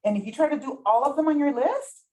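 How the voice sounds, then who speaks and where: A woman's voice: sits high, fast, a little mellow. A woman whose first language is Spanish leads a community workshop in a chatty way, often checking in with the group. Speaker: workshop facilitator. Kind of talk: interactive workshop teaching. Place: meeting room, with some people online.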